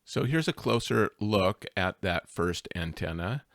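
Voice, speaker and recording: Slow, low-voiced man explaining a project. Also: expressive, creaky, a bit bright, no room sound, studio quality. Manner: hesitant, many filler sounds. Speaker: hobbyist video maker. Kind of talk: casual how-to narration. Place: outdoors in the desert.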